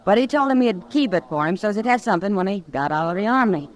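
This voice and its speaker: Actress as lively frontier woman storyteller. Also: mid-range voice, fast talker, close to an everyday voice. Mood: amused storytelling. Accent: American. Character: sly, easygoing frontier woman, gossipy storyteller. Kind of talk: old-time American radio western acting.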